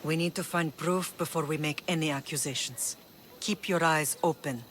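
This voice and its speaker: A woman's voice: deep voice, normal speed, a bit bright, a little hiss or hum. A woman acting a proud, determined Spartan mother in a video game, middle-aged, grave and composed. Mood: watchful.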